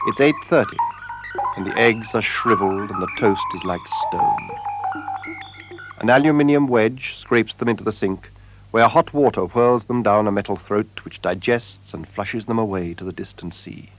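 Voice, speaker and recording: An actor playing a solemn storyteller, narrating a literary radio drama in a measured, deliberate way. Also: male voice, fairly low pitch, faint music behind, lightly acted. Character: solemn male storyteller observing an empty house.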